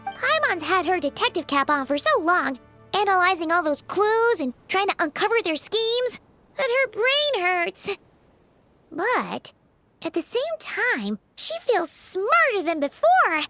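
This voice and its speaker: A woman voicing a tiny, excitable, proud fairy companion in an anime-style game, squeaky and exclaiming. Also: a little slow, soft background music, full character voice. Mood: proud, weary. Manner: refers to self in third person, exclaiming.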